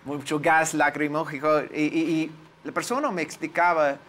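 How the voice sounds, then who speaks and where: A man in his forties with an American accent, a native English speaker, recalling his experiences in an interview and pausing to search for words. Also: a little high, fairly even intonation, fairly bright tone. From United States. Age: 40s. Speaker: lawyer, interview guest. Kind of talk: interview, personal recollection.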